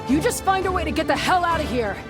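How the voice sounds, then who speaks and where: A woman playing a gruff, curt, fiercely protective warrior in a video game. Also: very high voice, lively intonation, quick pace. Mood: furious. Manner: blunt, terse. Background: game music.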